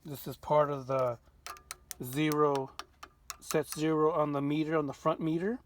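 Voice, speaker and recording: A man presenting a casual hands-on walkthrough as a radio restorer, informal and halting as he thinks aloud. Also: on the high side, little pitch movement, slight background noise.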